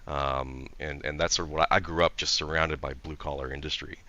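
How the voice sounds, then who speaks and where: A man, a game director on a panel, talking in an eager, animated way and quick to agree. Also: extremely low pitch, lo-fi sound. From Canada. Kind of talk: casual panel conversation.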